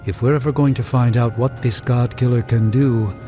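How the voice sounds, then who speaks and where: An actor playing a learned, composed sorcerer in a video game, speaking in a formal, eloquent, dryly witty way. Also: male voice, mid-range voice, steady tone, moderate pace, phone-quality sound, soft background music, lightly acted. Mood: calm, earnest. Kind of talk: scripted video game dialogue.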